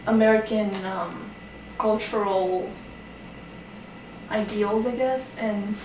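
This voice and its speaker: A young woman answering an interview carefully and hesitantly, with many pauses and ums. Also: mid-range voice, fairly even intonation, echoey room, far from the mic, background noise.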